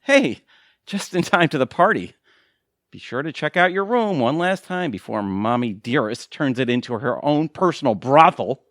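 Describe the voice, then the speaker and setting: A bitterly sarcastic middle-aged husband in an audio play. His voice sounds wounded and swings widely in pitch, in a dry, close recording. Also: lively intonation, normal speed, a bit bright, no room sound. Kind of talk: audio theatre comedy-drama acting.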